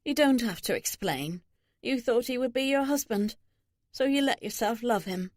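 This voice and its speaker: Woman acting as older mother, loving, guarded, earnest, fairly high voice, audiobook drama, dry sound. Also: expressive, normal speed, no echo, close to an everyday voice. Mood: sorrowful, gentle.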